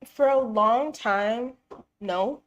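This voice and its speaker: A woman's voice: fairly high pitch, very slow, lo-fi sound, slight background noise. A woman in her twenties, a hairstylist guest in a casual interview, candid and blunt with many fillers. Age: 20s.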